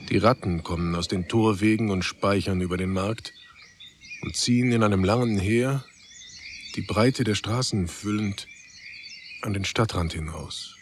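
A man narrating a literary radio drama as a sober storyteller, measured and restrained. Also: lowish voice, slight background noise, close to an everyday voice.

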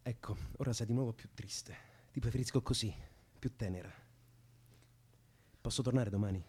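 An actor in an Italian radio drama playing a restless young lover, pleading and bitter, impassioned and confrontational. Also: male voice, medium pitch, moderate pace, mellow, husky, lightly acted. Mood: tender, pleading.

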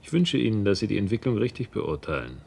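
A sardonic, menacing gentleman villain of radio drama. His voice is low and full of gravel, his courtesy a form of mockery. There is faint noise behind him. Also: very animated, fast. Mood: mocking menace. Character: smooth-tongued, mocking, menacing male adversary. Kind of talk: German radio drama acting.

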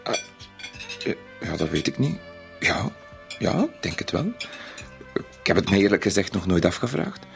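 An actor playing a timid, naive bank bookkeeper in a radio play, speaking politely and hesitantly with a Flemish accent. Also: male voice, sits low, very animated, moderate pace, vocal fry, pauses often, over music, full character voice. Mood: hesitant, content. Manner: hesitant, polite, self-effacing. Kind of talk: radio drama acting.

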